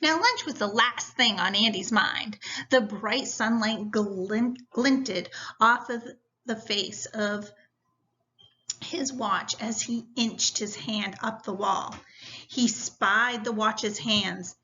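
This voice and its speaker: Woman, teacher, slow deliberate story reading, very bright higher voice, slightly dull lo-fi recording. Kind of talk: read-aloud storytelling with lesson instructions. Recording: dull, lo-fi sound.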